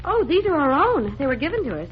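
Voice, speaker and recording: A woman's voice: sits high, sing-song, fast. A woman playing a perky, girlish detective's assistant, playful and exclamatory, in 1940s radio drama style. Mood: teasing. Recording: dull vintage radio recording, music behind.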